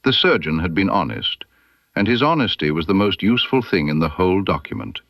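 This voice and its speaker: A deep-voiced man narrates a literary detective tale in a measured, formal way. His pitch moves expressively through the long scripted sentences, and the recording is dry and close. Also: big pitch swings, a bit bright, no room sound. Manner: measured, formal storytelling delivery. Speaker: audiobook narrator. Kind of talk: scripted literary mystery narration in Victorian first person.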